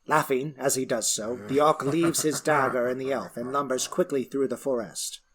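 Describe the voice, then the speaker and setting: A man of middling pitch serves as storyteller for a fantasy adventure. He delivers scripted narration theatrically, shaping each phrase with deliberation. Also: steady tone, normal speed, fairly bright tone, a little hiss or hum. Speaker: narrator and creator of the story world.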